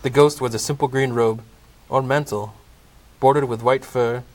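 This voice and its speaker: A man's voice: medium pitch, steady tone, normal speed, a little mellow. A man narrating a comedic Christmas audio play from a script, in storybook style. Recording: clearly noisy amateur recording.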